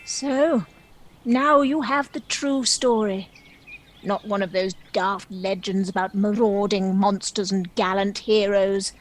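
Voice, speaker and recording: A woman playing a kindly, wry female dragon, a loving companion in a gentle stage comedy. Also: mid-range voice, fairly even intonation, slow pace, slight background noise, close to an everyday voice, low-quality recording. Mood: fond, measured.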